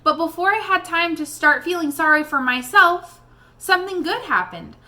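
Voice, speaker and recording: A woman reading a children's novel aloud in an expressive storybook style. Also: very high-pitched, moderate pace. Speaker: read-aloud reader addressing young listeners.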